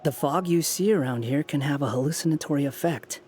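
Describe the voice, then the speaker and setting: A man's voice: on the high side, fairly quick, a little mellow, lightly acted. A male actor playing an aloof, irritable young hero in a video game, speaking with dismissive sarcasm. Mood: dry mockery. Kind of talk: English video game voice acting. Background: game music.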